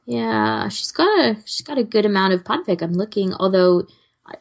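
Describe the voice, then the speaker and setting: A woman's voice: mid-range voice, normal speed, vocal fry. A woman co-hosting a podcast, chatting casually and giving recommendations in an informal, rambling, giggly way. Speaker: podcast co-host.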